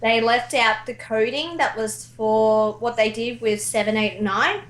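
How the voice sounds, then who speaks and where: A woman's voice: on the high side, fairly even intonation, unhurried, a bit bright. A woman speaking as a guest in a casual chat about video games. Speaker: guest, amateur voice actor.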